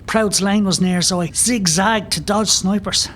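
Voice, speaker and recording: A man's voice: high voice, measured pace, fairly bright tone, slight background noise. A man playing an earnest young rebel volunteer in an audio play. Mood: urgent.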